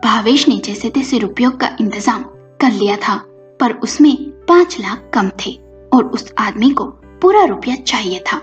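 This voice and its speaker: High-voiced woman narrating a story over music. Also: lively intonation, unhurried. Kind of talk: scripted story narration with acted dialogue. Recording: muffled recording with music behind.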